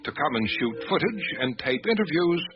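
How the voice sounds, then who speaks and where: An actor playing an observant doctor who narrates a mystery in measured, precise first-person radio drama storytelling. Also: male voice, fairly high pitch, big pitch swings, faint music behind. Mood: measured, reflective. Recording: dull lo-fi radio drama, faint noise.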